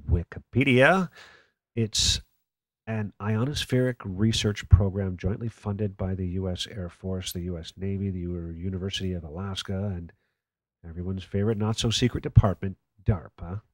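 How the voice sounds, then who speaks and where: A low-pitched conspiracy radio host in a radio play. He is skeptical and dryly sarcastic, speaking in a measured way, close and dry on the microphone. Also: no echo. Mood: sardonic. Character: sardonic, skeptical late-night conspiracy radio call-in host. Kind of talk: radio play acting as talk radio.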